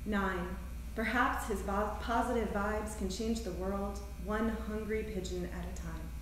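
A very reverberant theater recording of a woman poet at a live reading, picked up from a distance with faint noise. Her voice sits at a middle pitch for a woman. She presents her writing in measured, deliberate phrases. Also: fairly even intonation. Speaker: poet reading own work at a live event. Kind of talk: poetry and prose reading with short spoken introductions. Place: theater stage, indoors. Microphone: distant camera microphone.

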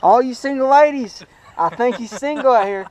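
A young man giving a playful, teasing tour of a bass boat outdoors on a lake, laughing often, recorded on an action camera. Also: lively intonation, moderate pace, darkish tone, low-quality recording. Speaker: vlog host.

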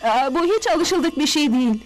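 A high-pitched cartoon fortune-teller peers into the future with uneasy, mystical drama. She pauses and hesitates, with clear music behind her. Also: expressive, rough recording. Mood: uneasy, hesitant. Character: female fortune-teller gazing into a crystal ball, uneasy. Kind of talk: cartoon dubbing.

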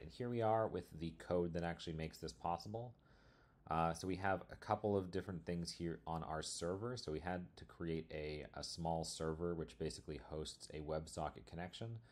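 A man presenting a software demo in a casual, explanatory screencast tutorial style. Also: very deep voice. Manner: informal, explanatory.

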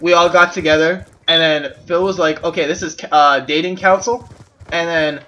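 A man improvising a comic story to friends over voice chat, halting and giggly, often repeating himself. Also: a little high, steady tone, sharp tone. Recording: noisy gaming voice chat with clicks.

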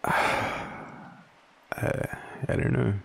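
An Australian man playing a vulnerable, devoted young partner in an intimate audio roleplay, hesitant and halting, with a husky voice. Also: low-quality recording. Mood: tender, nervous. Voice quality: husky, gravelly. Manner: hesitant, halting, soft-spoken confession.

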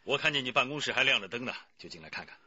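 An actor playing a senior police chief in a radio drama, a calm, avuncular superior who speaks in a measured, supportive way. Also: male voice, medium pitch, dull audio. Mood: easygoing, supportive.

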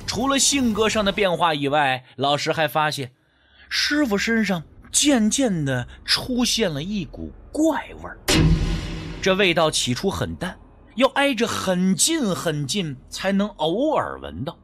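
A man's voice: sits high, wildly swooping pitch, unhurried, a bit bright. A man narrating a scripted suspense story as an audiobook, with a storyteller's dramatic phrasing.

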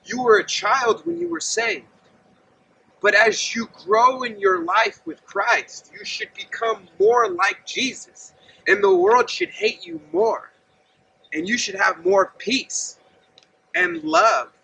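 A man's voice: extremely high pitch, very animated, takes it slowly, gravelly, bright, forceful tone, slight background noise. A man in his thirties preaching earnestly and conversationally outdoors, standing and gesturing while he talks to a camera set some distance away. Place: outdoors by a gravel lot and fence. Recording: distant outdoor mic with faint ambience.

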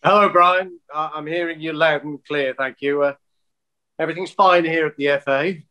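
An actor playing a polite, exasperated county football official, formal and tactful, on a video call. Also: male voice, fairly high pitch, steady tone, normal speed, bright, forceful tone, clean tone. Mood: politely awkward. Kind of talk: filmed comedy acting on a video call.

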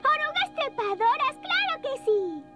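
An eager little elf girl with an extremely high squeak. She is cheeky and childlike in cartoon style, over loud music. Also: big pitch swings, measured pace, exaggerated voice. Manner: childlike, exclaiming. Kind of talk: cartoon dub acting.

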